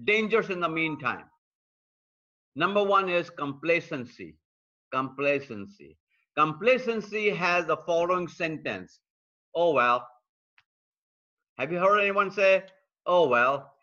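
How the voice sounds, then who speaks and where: A man's voice: a little high, animated, takes it slowly, pauses often, pushed and bright, lo-fi sound. A man preaching an online sermon, building points through repetition and speaking straight to viewers joining from around the world. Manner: repetitive, emphatic preaching cadence; addresses viewers directly. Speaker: pastor. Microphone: streaming call mic.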